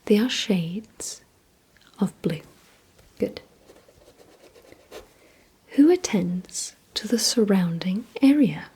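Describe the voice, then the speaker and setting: A woman whispering breathily in an ASMR vampire roleplay, speaking deliberately to the listener as a gently instructing host. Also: medium pitch, lively intonation. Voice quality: breathy, mostly unvoiced whisper.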